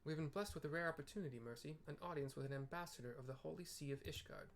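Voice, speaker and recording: A man reads as a courtly young male diplomat from a fantasy video game. The voice is slightly high, with formal and wordy phrasing, over noticeable background noise. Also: rapid-fire, natural voice. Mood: persuasive, formal.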